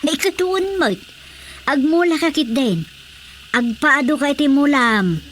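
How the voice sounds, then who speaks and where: A woman's voice: sits high, expressive, measured pace, slight background noise. An actress with an Ilocano accent playing a chatty, teasing village woman who loves gardening in a radio drama comedy. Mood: chatty, encouraging.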